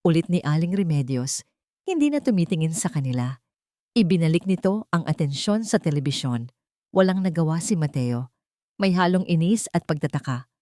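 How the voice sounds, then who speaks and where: A woman's voice: sits low, expressive, moderate pace, warm tone, no echo, clean studio sound. A woman storyteller reading a scripted, dramatic narration of a love story.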